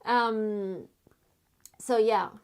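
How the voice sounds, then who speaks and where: Slow-talking woman from Spain. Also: medium pitch, fairly even intonation, clear, resonant tone. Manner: halting, many fillers, reads chat messages aloud. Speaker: artist live streaming while drawing. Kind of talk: casual live stream chat.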